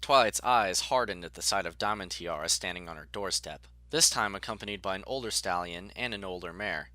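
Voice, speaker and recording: A man reads scripted story narration in a fairly low voice. His tone is bright and forceful, and the recording is dry with no room sound. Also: a little fast, no room sound.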